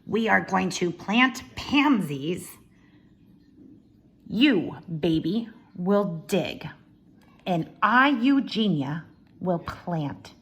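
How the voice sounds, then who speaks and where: A woman voicing a haughty, bossy older sister from a storybook, speaking in a pompous, deliberate, emphatic way. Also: fairly low pitch, big pitch swings, full character voice. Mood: haughty, commanding.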